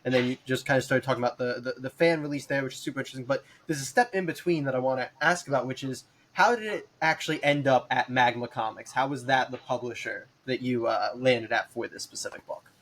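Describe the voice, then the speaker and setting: A man's voice: medium pitch, fast talker, few pauses, fairly bright tone. A man hosting a comic podcast, affirming his guests and asking interview questions. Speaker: podcast host.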